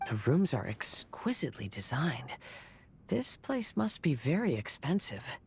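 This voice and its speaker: A man playing a calm, philosophical young wanderer in an anime-style game, speaking softly and in measured phrases. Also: gravelly, slightly rough, exaggerated voice. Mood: admiring. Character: gentle, poetic, philosophical young male wanderer. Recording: faint background rumble.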